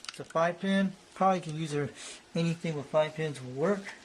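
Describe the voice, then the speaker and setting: Higher-voiced man rambling through a demo, noisy. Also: brisk. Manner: rambling, repetitive. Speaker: hobbyist video presenter. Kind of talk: casual unscripted show-and-tell demonstration. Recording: noticeable background noise.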